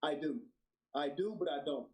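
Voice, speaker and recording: A man, a guest answering questions in an earnest, relaxed way. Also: on the high side, normal speed. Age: 40s. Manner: relaxed, earnest, laughs along. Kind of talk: casual interview chat.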